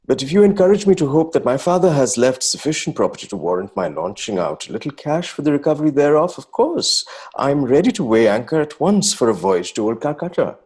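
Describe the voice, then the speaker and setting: A man's voice: medium pitch, a little mellow, breathy edge. A man with an Indian accent playing an effusive, anxious young nineteenth-century Bengali poet, reading his letters aloud in a theatrical, ornate, exclamatory manner.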